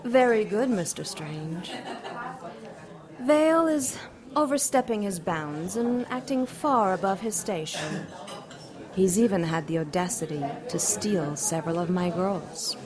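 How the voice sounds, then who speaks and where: A woman's voice: on the low side, expressive, a little slow. A woman voicing a seductive, imperious demon queen in an audio drama, coy, mocking and theatrical. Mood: cool, sly. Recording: crowd chatter behind the voice. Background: crowd chatter.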